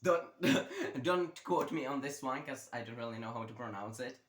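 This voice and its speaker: A young man chats loosely about books, filling his talk with fillers and side remarks. His voice sits at an average pitch and rings in a roomy bedroom echo. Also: lively intonation, normal speed. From Czech Republic. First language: Czech. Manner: chatty, rambling, with many fillers. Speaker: book vlogger. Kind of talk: casual chat.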